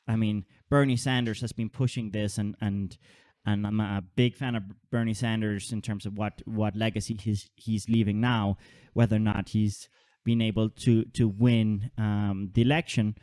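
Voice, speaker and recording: A man born in Holland with Danish citizenship, hosting a podcast in casual, animated chat and often repeating words as he thinks. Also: lowish voice, no room sound, studio quality. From Denmark and Holland. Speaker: podcast host.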